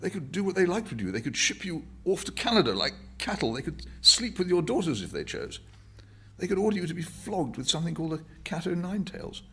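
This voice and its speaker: A man's voice: a little high, sing-song, brisk, pushed and bright, slightly rough, pitched above the performer's own voice, full character voice. A man voicing an earnest, patient questioner who presses his points articulately in a novel reading. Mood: insistent.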